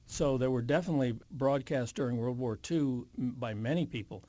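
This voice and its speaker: A man's voice: medium pitch, a little fast, mellow, dry recording. An American man in his seventies answering as an interview guest, reflective and hesitant as he chooses his words. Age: 70s.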